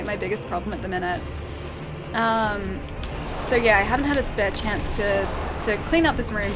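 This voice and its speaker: Australian woman, game streamer, light higher voice, relaxed rambling chatter with frequent laughs, soft music underneath. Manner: chatty, laughs often, thinks aloud with many fillers. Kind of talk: casual live-stream chat, reading chat aloud.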